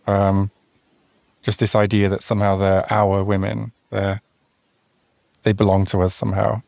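A man's voice: on the low side, completely flat delivery, measured pace, vocal fry, a little mellow, no echo. A man hosting an interview, asking thoughtful, reflective follow-up questions in a conversational way. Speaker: interviewer and channel host.

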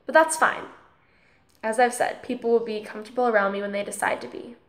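A woman acting as an earnest student girl in an audio drama. Her voice is fairly high, and she explains her principles in a measured, slightly preachy way. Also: fairly even intonation, lightly acted. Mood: calm, earnest. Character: earnest, tolerant, principled transgender girl student named Holly. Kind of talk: scripted audio drama dialogue, speech-like monologue.

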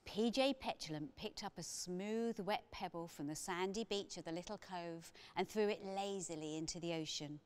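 A middle-aged woman reads a children's story aloud. Her voice sits on the low side and her narration is expressive. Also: moderate pace, few pauses, smooth. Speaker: author reading aloud.

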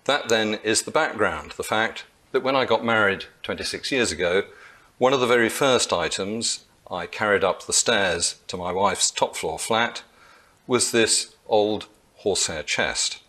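An older man at a lectern tells a lecture anecdote with dry, wry wit. His voice sits fairly low and has some husk to it. A lectern mic picks him up in a lecture hall. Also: unhurried, pushed and bright.

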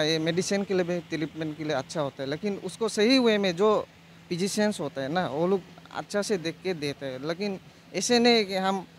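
A young man from Arunachal Pradesh giving a measured answer in a street interview at an outdoor market stall. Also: a little high, slight background noise. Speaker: vox pop interviewee. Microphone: handheld interviewer mic.

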